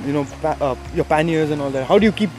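A man's voice: on the high side, racing, a little mellow. A man in his thirties with an Indian accent, chatting casually as an interviewer. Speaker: interviewer and host. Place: outdoors among trees and grass.

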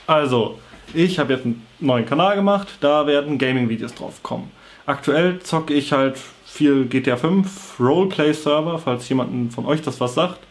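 A young adult man with a cold with a sore throat, talking casually to camera in an informal, slangy, offhand way. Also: fairly high pitch. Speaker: vlogger. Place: small bedroom with computer desk, indoors.

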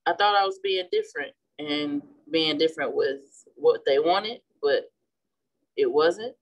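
Woman, 30s, Midwestern accent, relaxed and chatty craft instructor, often restarts mid-sentence. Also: sits low, measured pace, highs cut off. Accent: Midwestern American. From St. Louis, Missouri. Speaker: florist and class instructor. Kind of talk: casual demonstration while painting. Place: indoor flower shop worktable.

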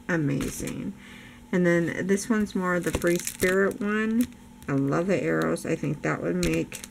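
A woman's voice: deep voice, vocal fry. An older woman in a casual craft haul vlog, chatty and enthusiastic, losing her voice, filmed over her craft table at home. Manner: chatty, enthusiastic, frequent laughs. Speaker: crafting vlogger. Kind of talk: casual haul show-and-tell. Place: craft room table, indoors. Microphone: camera above a craft table. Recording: noisy home recording.

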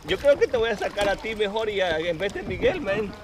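A man in his thirties vlogging with excitement while fishing from a kayak on a river, switching between Spanish and English. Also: high-pitched, a little fast. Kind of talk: casual vlog narration while fishing. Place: outdoors on a river, wading and kayaking. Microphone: action camera on a kayak. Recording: noisy outdoor river audio.

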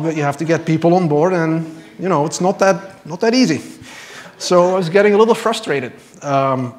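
A man in his thirties from Belgium, telling his personal faith story from a church stage in an earnest, lightly self-deprecating way, speaking into a lapel mic. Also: a little high. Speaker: church member giving testimony. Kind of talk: personal testimony from a pulpit.

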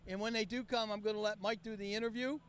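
A chatty man hosts a live stream outdoors on a handheld mic, his voice worn down by a cold. He sits very high in pitch. Faint event noise runs behind him. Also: steady tone, fast, fairly bright tone. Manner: chatty and upbeat, coaxing guests. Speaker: host. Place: outdoor park event beside a van.

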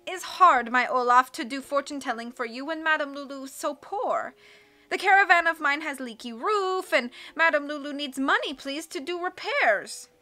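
A woman's voice: high-pitched, expressive, moderate pace, bright, forceful tone, voice pushed up. Woman doing a wheedling fortune-teller with a foreign accent. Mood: pleading, complaining. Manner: broken syntax, peppers speech with "please".

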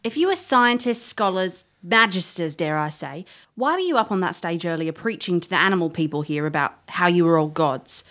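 An actress as a cheeky, confident woman adventurer in an audio drama. She has a lowish voice and a sardonic, needling delivery, sure of her own knowledge. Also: animated, brisk, hardly any pauses, fairly bright tone. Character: sardonic, bold woman, self-taught magic scholar adventurer.

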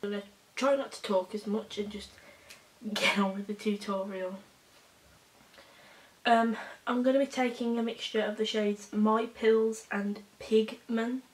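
Hoarse young woman, slow and rambling. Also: medium pitch, fairly even intonation, echoey room, low-quality recording. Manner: rambling, halting, with many fillers. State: hoarse, recovering from a cold, coughing. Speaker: beauty vlogger. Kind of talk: casual makeup tutorial chat. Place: small bedroom indoors.

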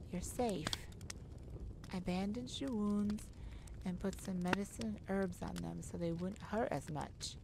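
A woman's voice: on the low side, creaky, background noise. A woman playing a nervous, caring mage who speaks hesitantly and tenderly in an ASMR audio roleplay. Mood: tender, relieved. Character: nervous, caring young mage rescuing a friend.

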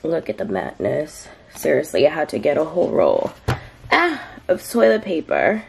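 Tired stuffy-nosed woman with a gravelly voice. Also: huge pitch swings, moderate pace, a little hiss or hum. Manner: tired, low-energy, rambling with many fillers. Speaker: vlogger. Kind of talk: casual vlog chat to camera. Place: bedroom and then a kitchen at home, indoors. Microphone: handheld camera at arm's length.

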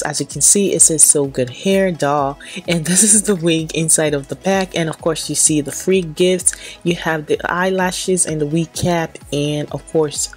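A woman's voice: deep voice, darkish tone. A young woman with a stuffy, nasal voice from a cold, chatting casually to camera as she talks through a hair tutorial. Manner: chatty, repeats "so yes" and "going in". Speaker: beauty vlogger. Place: small indoor room, plain backdrop.

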